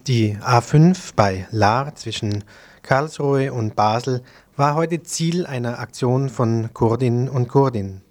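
A man's voice: mid-range voice, slow, a little mellow. A man reading scripted news as a radio presenter.

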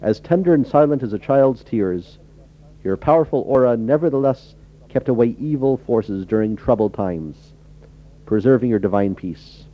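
A man's voice: mid-range voice, animated, normal speed. A man, a composer, reciting his own poem in a solemn, reverent, declamatory manner. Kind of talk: solemn poetry reading. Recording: dull, slightly muffled radio recording.

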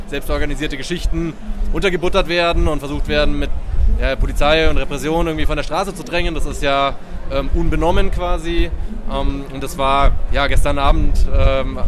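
A man, an activist being interviewed outdoors at a street festival, talking casually with many fillers and restarts. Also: on the high side. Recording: loud crowd around.

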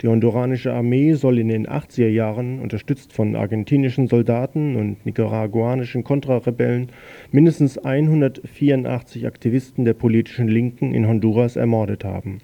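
A man reading a news bulletin on a local radio station in a plain, slightly halting way, correcting himself now and then. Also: mid-range voice, steady tone, vocal fry, highs cut off.

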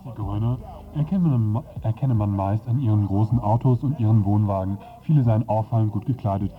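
A man's voice: lowish voice, fairly quick. A man reading a scripted satirical mock report as a radio presenter. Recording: dull lo-fi radio recording over music. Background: music.

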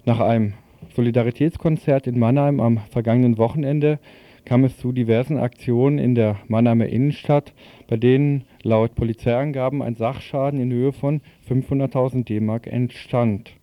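A man's voice: mid-range voice, steady tone, normal speed, no room sound, lo-fi sound. A man working as a radio presenter, reading a scripted report and putting questions to an interviewee.

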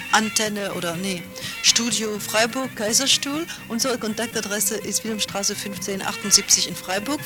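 Hesitant announcer over loud music. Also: medium pitch. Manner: hesitant, correcting itself mid-sentence. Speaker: radio announcer. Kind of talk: casual station announcement.

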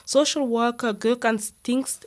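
A woman's voice: fairly high pitch, steady tone, measured pace. A woman co-hosting a podcast, presenting prepared points in a scripted discussion. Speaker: podcast co-host.

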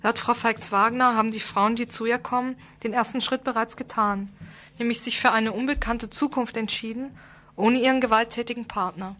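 A woman radio presenter reads a prepared report in a fairly high-pitched voice. She sounds serious and formal, keeping to the written text. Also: fairly even intonation, moderate pace, fairly bright tone. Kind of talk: scripted radio report, read out.

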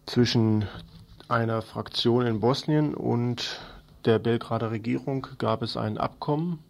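A man's voice: medium pitch, a little slow, vocal fry. A man presenting an informal radio news overview, part read and part improvised, addressing listeners familiarly.

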